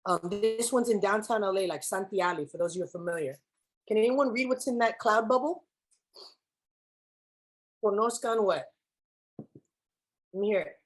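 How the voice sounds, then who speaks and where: Lively woman speaker mixing English, Spanish. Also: mid-range voice, moderate pace, long pauses, low-quality recording. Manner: lively, mixes English and Spanish, asks audience questions. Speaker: keynote speaker. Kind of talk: speech to students with spoken-word poem.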